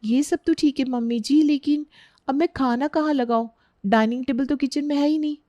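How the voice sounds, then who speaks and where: Woman doing young indian bahu in a story, high voice, polite, puzzled, kinda plaintive. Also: normal speed, mellow, dry recording, highs cut off, lightly acted. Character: hesitant, puzzled young Indian newlywed daughter-in-law.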